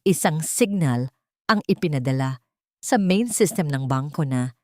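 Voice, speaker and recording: A woman's voice: on the low side, darkish tone, no echo, studio quality. A woman narrating a scripted dramatic story as a storyteller.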